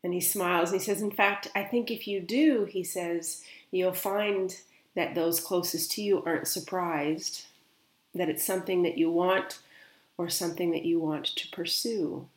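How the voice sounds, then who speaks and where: A woman speaking as a spiritual medium, gently and unhurriedly relaying another's words in a guided meditation. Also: on the low side, fairly even intonation, a little slow, roomy sound.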